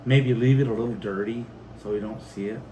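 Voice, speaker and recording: A man's voice: medium pitch, fairly quick, poor audio. An American man hosting a homestead vlog, chatting casually and reading out viewer comments. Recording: steady background noise.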